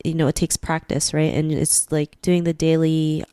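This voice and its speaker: A woman's voice: low voice, level tone, normal speed, warm tone, clear, resonant tone, no echo, clean studio sound. A woman hosting an interview podcast, upbeat and chatty, often saying "you know" and "right". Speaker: podcast host. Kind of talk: casual interview chat.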